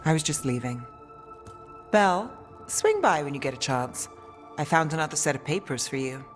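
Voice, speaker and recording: Cool, wry fixer for a game: low, lively inflection, reverberant hall, faint music. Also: female voice, moderate pace. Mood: casual. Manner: dry, wry, casual. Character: cool, dryly witty female professional fixer. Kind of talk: video game voice acting.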